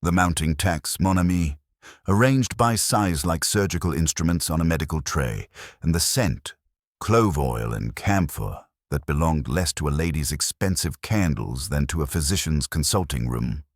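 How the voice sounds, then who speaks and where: A fussy older Belgian detective muses aloud in a measured, murmuring way, as a narrator puts him on. The voice sits extremely low with a lively rise and fall. It sounds close and dry, with no room around it. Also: male voice, no room sound, exaggerated voice. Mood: satisfied.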